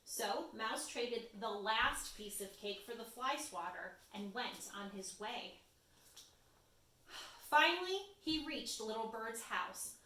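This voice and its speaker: A woman's voice: a little high, expressive, takes it slowly, bright, forceful tone. A woman reading a children's storybook aloud expressively, as a story-time reader. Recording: roomy echo, distant microphone.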